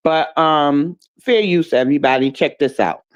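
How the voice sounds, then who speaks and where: A host talks back at video clips in loose, blunt commentary, with sarcastic jabs dropped into casual speech. The voice sits at a middling pitch. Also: normal speed.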